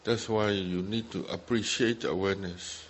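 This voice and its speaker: A man with a fairly low voice gives guided meditation instruction as a Buddhist teacher. English is not his first language, and he speaks in brief, plain phrases separated by gaps. There is noticeable background noise in the recording. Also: steady tone, moderate pace. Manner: short simple phrases with gaps between them. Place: meditation retreat talk.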